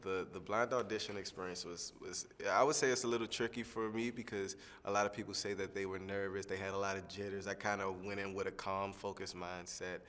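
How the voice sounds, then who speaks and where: A young man, a singing contestant, answering interview questions in a relaxed, rambling way with lots of fillers. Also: low-pitched, brisk, hardly any pauses, big-room sound. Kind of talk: press junket soundbite interview.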